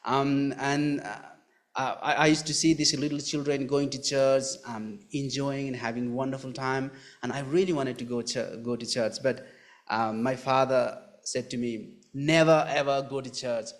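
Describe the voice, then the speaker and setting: Man, Nepali accent, medium pitch, live interview guest, personal storytelling, earnest, halting with repeated phrases. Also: fairly even intonation. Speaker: guest giving his testimony.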